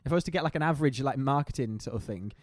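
A man's voice: medium pitch, animated, very fast, dry recording, studio quality. A British man in his mid twenties, co-hosting a podcast in casual, joking banter. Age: mid 20s. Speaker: podcast co-host.

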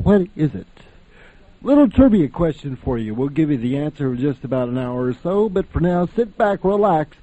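A man's voice: a little high, dark tone. A man hosting a radio show with chatty, folksy introductions, on a lo-fi recording. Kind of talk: casual scripted radio introduction.

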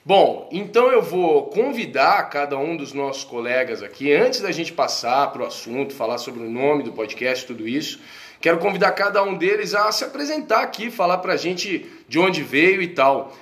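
A man's voice: a little high, big pitch swings, fairly bright tone. A man co-hosting a casual group podcast, upbeat and jokey, introducing guests. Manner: upbeat, jokey, quick to laugh. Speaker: podcast co-host.

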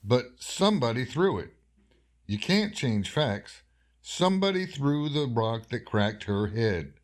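An actor plays an older small-town doctor in a radio horror drama. His voice is mid-pitched and folksy. He talks in a measured, plainspoken way with a skeptical, suspicious edge. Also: big pitch swings.

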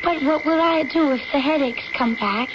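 Woman acting earnest high-voiced daughter, noisy old radio. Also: normal speed, lightly acted. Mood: anxious. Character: devoted, needy schoolgirl daughter narrating her memories. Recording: noisy, dull old-time radio recording.

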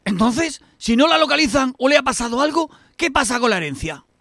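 Man playing a shrill, scheming Spanish eldest brother. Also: very animated, a little fast, a bit bright, no echo, full character voice. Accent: Spain. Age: middle-aged. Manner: exclamatory, scornful, sarcastic. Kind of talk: amateur radio soap acting.

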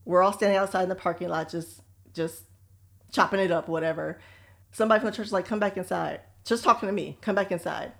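Woman co-host, lively anecdote teller, conversational delivery. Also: lowish voice, quick pace, creaky. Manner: animated, laughing storyteller. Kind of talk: casual storytelling chat.

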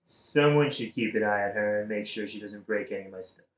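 A man's voice: fairly low pitch, a little fast, close to an everyday voice. Glib, smug king in an echoey room, a man acting. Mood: glib politeness. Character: smug, smooth-talking self-interested king. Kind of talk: satirical modern audio play acting.

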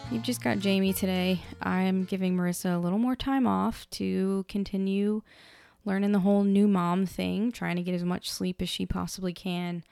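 A woman hosting a casual book podcast, chatty and rambling, her voice hoarse from being sick. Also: medium pitch, steady tone, normal speed, mellow, soft background music. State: hoarse from illness. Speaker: podcast host.

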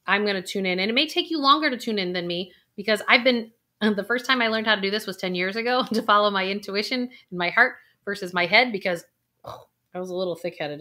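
Calm woman guiding a breathing exercise. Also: medium pitch. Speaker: intuition coach. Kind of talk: guided exercise for viewers. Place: indoors, recording a video.

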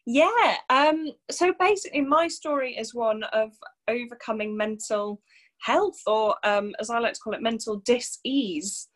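A woman's voice: fairly high pitch, unhurried. A woman in her thirties from North Wales, a coach, talking openly and reflectively as a podcast guest, with many fillers. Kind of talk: casual interview chat.

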